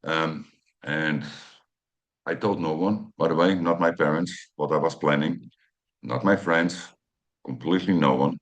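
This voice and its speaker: A Dutch man in his fifties, speaking English as a second language, telling a personal story to camera with many pauses and fillers while glancing at notes. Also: very deep voice, dull audio. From Netherlands. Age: 50s. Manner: hesitant, many ums and uhs, reads from notes. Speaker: channel host.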